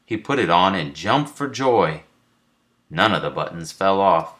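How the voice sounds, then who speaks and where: Slow, low-voiced man reading a storybook. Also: lively intonation, fairly bright tone. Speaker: father reading a bedtime story aloud. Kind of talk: storybook narration.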